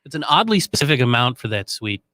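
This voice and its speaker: A man's voice: medium pitch, big pitch swings, fast talker, sharp tone, no room sound. A man co-hosting a radio show, adding short dry quips to the banter. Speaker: radio co-host.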